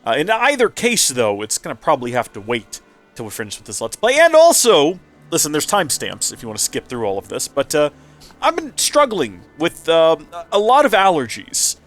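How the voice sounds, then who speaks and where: A man's voice: a little high, huge pitch swings, normal speed, fairly bright tone. A man hosting a let's play with casual, rambling commentary, his nose stuffy and a little nasal. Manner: chatty, rambling asides.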